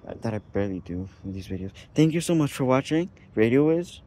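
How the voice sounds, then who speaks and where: A man hosting a video with casual, off-the-cuff commentary, halting and often repeating himself. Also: medium pitch, expressive, warm tone. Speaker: vlog host.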